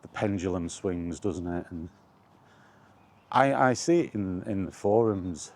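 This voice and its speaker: A man's voice: low-pitched, a little slow, a little mellow. A British man chatting casually in a wry, colloquial way, like a solo vlogger rambling through his thoughts. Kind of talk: casual rambling chat about gaming.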